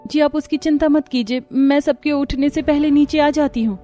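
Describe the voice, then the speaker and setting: A woman's voice: sits high, steady tone, moderate pace, warm tone, voice pushed up, natural voice. A woman voicing a timid, secretive young daughter-in-law in a cartoon story, hesitant when questioned, with music behind. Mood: nervous.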